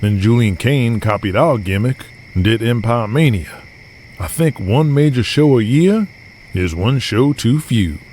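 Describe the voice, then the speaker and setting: A man's voice: medium pitch, animated, measured pace, darkish tone, a little hiss or hum, close to an everyday voice. A man playing a confident, scheming outlaw wrestler and promoter, plain-spoken and self-assured, in an audio drama. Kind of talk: audio drama character acting.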